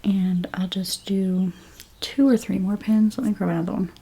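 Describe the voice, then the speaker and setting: A woman doing a soft-spoken ASMR role play as a dressmaker, gentle and unhurried, her breathy voice often slipping into a whisper. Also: mid-range voice, fairly even intonation. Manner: gentle, unhurried, reassuring explanations to a client. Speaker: ASMR creator role-playing a dressmaker at a fitting.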